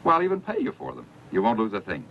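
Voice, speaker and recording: Radio western role: desperate trader, pleading, very lively intonation, muffled and faintly noisy. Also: male voice, fairly low pitch, fast. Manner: pleading, insistent. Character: desperate, self-serving frontier trader bargaining for his life. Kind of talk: western radio drama acting.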